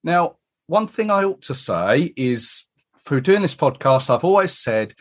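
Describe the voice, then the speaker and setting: Lively-pitched man hosting a podcast. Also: a little slow. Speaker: podcast host. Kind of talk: conversational podcast introduction and interview.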